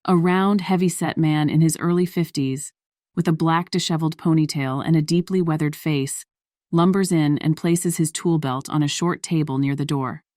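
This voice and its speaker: Female narrator, deep register, scripted fiction read, dry close recording. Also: steady tone, moderate pace, a little mellow, no echo.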